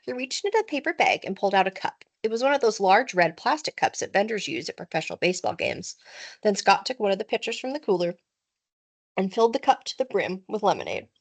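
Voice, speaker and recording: Female narrator, teacher-style children's book reading, expressive, very varied intonation. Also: mid-range voice, fast.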